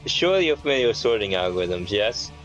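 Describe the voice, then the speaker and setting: A man's voice: lowish voice, big pitch swings, fast, fairly bright tone, dull audio. A man chatting casually over a video game, arguing his case point by point. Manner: argumentative, point-by-point reasoning. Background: game music.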